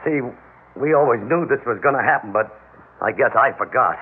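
An actor playing a stubborn, wounded foster father, an ordinary working man, in a halting, tense radio drama style. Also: medium pitch, expressive, natural voice. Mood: contrite, subdued. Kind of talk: classic radio drama acting. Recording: tinny, noisy old radio broadcast.

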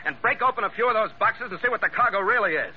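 An actor playing a tough, cynical sea captain in an old-time radio adventure, with a clipped, hard-bitten, wry delivery. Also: male voice, high voice, expressive, fast. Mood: brisk, commanding. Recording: muffled, noisy old radio recording.

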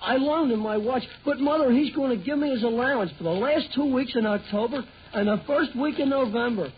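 A man's voice: very high voice, fairly quick. A reluctant, doubtful teenage boy protests in 1940s radio sitcom style. The recording is dull, with faint noise behind it. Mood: sheepish, protesting. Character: cautious, reluctant teenage boy, Henry's friend Herbert. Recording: muffled, noisy 1940 radio recording.

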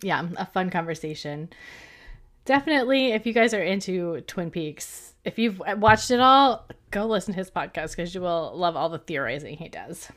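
A woman co-hosts a podcast in a relaxed, conversational way. She chats through past episodes and laughs often between remarks. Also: lowish voice, moderate pace. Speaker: podcast co-host. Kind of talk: casual chat recapping episodes.

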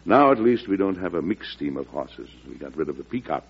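An actor playing a shrewd, folksy American president with wry humour, speaking deliberately and oratorically in 1940s radio drama style. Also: male voice, low-pitched, sing-song, heavily acted. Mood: wry, amused. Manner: oratorical, deliberate, chuckling in private talk. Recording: dull old radio recording, husky sound.

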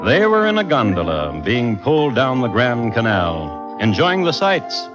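A man's voice: medium pitch, wildly swooping pitch, unhurried. A man narrating a scripted seafaring radio drama in a storytelling style. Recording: dull old radio recording. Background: music.

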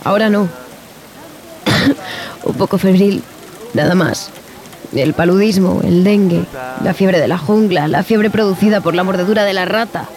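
A woman's voice: mid-range voice, unhurried, long pauses, darkish tone, a little hiss or hum, lightly acted. A woman playing a feverish, agitated scientist pleading to escape the jungle in an audio horror drama. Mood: feverish insistence.

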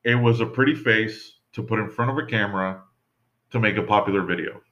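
A man with a lowish voice rambles through a casual podcast chat, filling his talk with "you know" and restarting his sentences. The audio is dull and lo-fi. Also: a little fast, fairly bright tone. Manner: rambling, many fillers and restarts. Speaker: podcast co-host. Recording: dull lo-fi sound.

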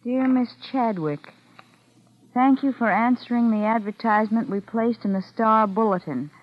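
A woman's voice: fairly high pitch, moderate pace, a little hiss or hum, lightly acted. An actress playing a wary, calculating young woman in an old-time radio mystery. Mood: reading aloud carefully. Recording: muffled vintage radio broadcast.

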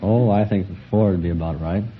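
A man's voice: sits low, steady tone, brisk. A man in his twenties, a quiz contestant answering politely and matter-of-factly. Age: 20s. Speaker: police officer, quiz contestant. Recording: dull vintage radio recording, faint noise.

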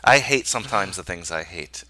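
A man co-hosting a podcast, talking casually and haltingly with many fillers and restarts. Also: deep voice, expressive, noisy background. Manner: hesitant, filler-heavy, self-searching. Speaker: podcast co-host. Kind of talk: casual chat.

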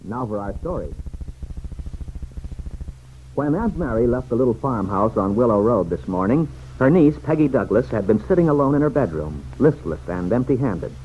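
A man's voice: mid-range voice, expressive, normal speed. A man narrating a scripted vintage radio serial in a formal, dramatic storytelling manner. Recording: dull, hissy vintage radio recording.

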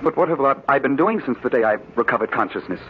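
An American actor playing a bewildered, earnest man recovering from amnesia in an old-time radio drama, with dramatic, halting delivery. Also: medium pitch, animated, close to an everyday voice. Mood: bewildered, distressed. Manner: dramatic, halting, repeating words in disbelief. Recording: noisy, dull old radio recording.

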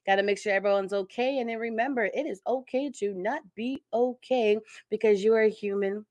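A woman's voice: medium pitch, brisk, a little mellow, no echo. A woman in her thirties from Connecticut, hosting a casual interview show in a chatty, upbeat way and laughing often. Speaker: podcast host.

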